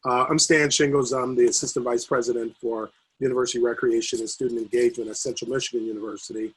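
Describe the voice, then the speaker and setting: A man speaking on a video call panel, losing his voice and talking haltingly with many fillers and restarts. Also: medium pitch, flat delivery, fairly bright tone, slightly rough, poor audio. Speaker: university recreation administrator.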